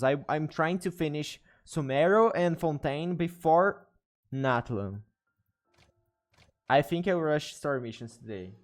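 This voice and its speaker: Halting male streamer with a Portuguese accent. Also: fairly high pitch. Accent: Portuguese-speaking. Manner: halting, repetitive phrasing. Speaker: game streamer. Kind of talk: casual live stream chat.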